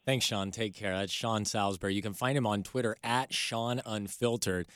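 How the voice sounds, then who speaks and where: A man's voice: fairly low pitch, steady tone, normal speed, a bit bright, clear, resonant tone, dry recording, studio quality. An American man co-hosting sports radio, talking casually and putting informal, rambling questions.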